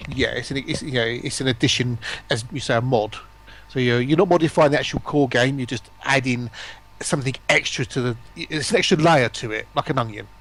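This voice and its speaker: Male stream guest, medium pitch, informal explainer in casual conversation. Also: a little fast, a bit bright. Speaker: stream participant explaining voice packs. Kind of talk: casual live stream chat.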